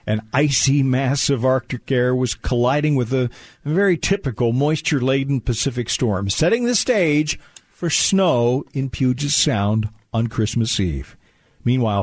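A man acting as the genial narrator of an old-time holiday radio play, with polished, deliberate storyteller phrasing. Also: medium pitch, no room sound, close to an everyday voice. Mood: scene-setting.

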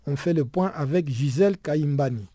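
A male news anchor reading scripted news in a smooth, even delivery. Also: on the high side, normal speed.

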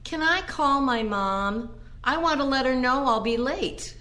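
A woman's voice: sits high, a little slow, sharp tone. A woman playing a confused, childlike old care home resident in an audio play, speaking simply. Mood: anxious, grateful.

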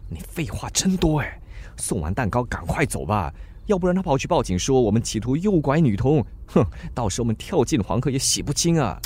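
A man's voice: medium pitch, sing-song, fairly quick, a little mellow, breathy edge. A man playing a teasing, cynical young delivery sidekick, grumbling theatrically in a radio drama.

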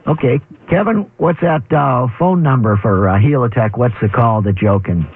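Upbeat radio call-in host, tinny sound. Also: male voice, mid-range voice, normal speed. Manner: upbeat, joking banter. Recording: tinny phone-like radio audio.

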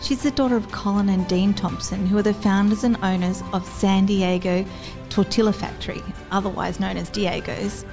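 A woman's voice: mid-range voice, fairly even intonation, moderate pace, music in the background. A woman from Australia, hosting a podcast and chatting eagerly, often finishing her guest's sentences. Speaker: podcast host. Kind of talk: casual interview chat.

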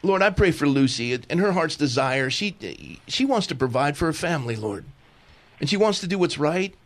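A middle-aged man hosting a talk radio show, talking fervently and pastorally, often repeating himself in quick bursts. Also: a little high, lively intonation.

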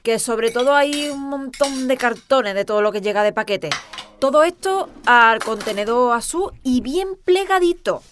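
A woman playing a practical, level-headed middle-aged mother in a family audio sitcom, brisk and wry, with an Andalusian accent. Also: a little high, lively intonation, close to an everyday voice. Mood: bossy. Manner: brisk, matter-of-fact, wry. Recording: clattering dishes and cutlery noise.

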